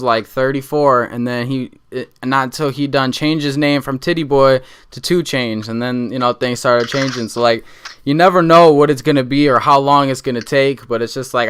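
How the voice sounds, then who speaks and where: A man in his twenties hosting a relaxed interview show, easygoing and rambling with lots of fillers. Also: mid-range voice, clear, resonant tone. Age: 20s. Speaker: podcast host. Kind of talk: casual interview chat.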